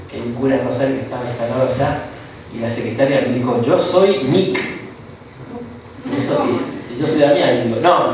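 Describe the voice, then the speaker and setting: Argentine bishop lecturing in an echoey hall. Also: male voice, fairly high pitch, noisy background. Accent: Argentine Spanish. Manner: conversational, frequent "¿no?" and "¿tá?" tags, asides. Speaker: bishop teaching a Bible course. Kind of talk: informal lecture to a class. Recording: echoey room, mic far off.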